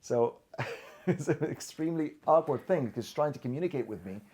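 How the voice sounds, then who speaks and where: A man's voice: fairly high pitch, lively intonation, fairly quick, dark tone. A man from Syria whose first language is Arabic, a podcast guest telling personal stories earnestly, often restarting and repeating words. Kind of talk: personal storytelling in conversation.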